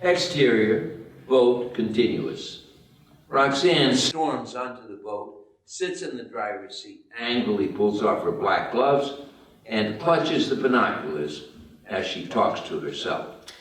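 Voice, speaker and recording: A man's voice: fairly low pitch, takes it slowly. A man narrating the stage directions of a screenplay at a table read. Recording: roomy, distant mic.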